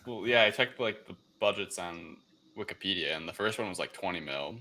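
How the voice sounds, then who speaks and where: A man's voice: low voice, lively intonation, a little fast, pushed and bright. A man co-hosting a casual film discussion in an informal, sardonic way. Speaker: podcast co-host. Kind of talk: casual chat, film review.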